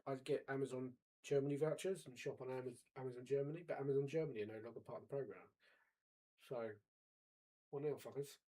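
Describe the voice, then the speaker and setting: A man of forty co-hosting a casual chat, wry and pedantic, quick to argue a point. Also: mid-range voice, dark tone, husky, low-quality recording. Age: 40s. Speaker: podcast co-host.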